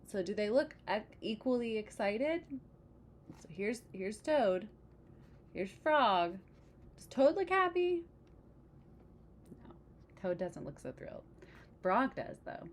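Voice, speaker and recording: A woman reading a picture book aloud expressively, pausing to explain things and check in with young listeners. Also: mid-range voice, big pitch swings, slight background noise. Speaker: read-aloud storyteller.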